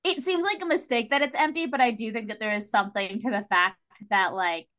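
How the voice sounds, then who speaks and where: A woman with a somewhat high voice chats casually as a podcast panelist. She retells things with animation, slipping into imagined lines as she goes. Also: brisk, sharp tone. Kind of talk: casual chat discussing a TV series.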